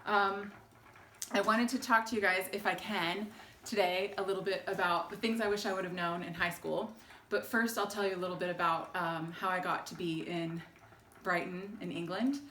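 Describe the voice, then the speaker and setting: A woman's voice: lowish voice, fairly even intonation, moderate pace, slight background noise. Chatty woman in her forties, echoey kitchen. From Texas, United States. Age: 40s. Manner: chatty and warm, with frequent ums and laughs. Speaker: alumna sharing her story. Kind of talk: casual home video chat. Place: home kitchen in an old English house. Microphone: camera held by her husband.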